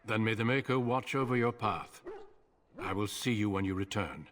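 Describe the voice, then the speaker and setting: An actor playing a grave, authoritative male commander in a video game, speaking in a measured, formal way. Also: lowish voice, a bit bright, a little hiss or hum, lightly acted. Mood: grave, instructing.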